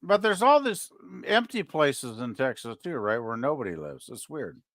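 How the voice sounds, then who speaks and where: A man's voice: medium pitch, big pitch swings, moderate pace, fairly bright tone. A man in his sixties chatting casually on a podcast, sarcastic and wry. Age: 60s. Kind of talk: casual chat.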